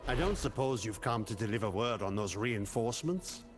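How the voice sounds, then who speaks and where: A man's voice: fairly low pitch, animated, moderate pace, music in the background, natural voice. A man voicing a dry, disapproving town guard in a video game. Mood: dry, weary. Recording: loud background noise.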